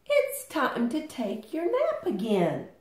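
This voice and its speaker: A tender storybook mother hen voiced by a woman. She coaxes gently in a singsong, lilting way, taking her time, with some room echo around her. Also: high voice, wildly swooping pitch, takes it slowly, pitched above the performer's own voice, heavily acted. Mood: coaxing. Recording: roomy home recording.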